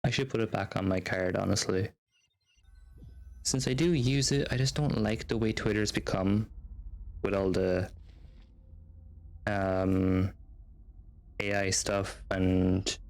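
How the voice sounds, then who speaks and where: A man livestreaming a video game, chatting casually in an easygoing, rambling way over game music. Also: lowish voice. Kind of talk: casual livestream chat, reading game text aloud.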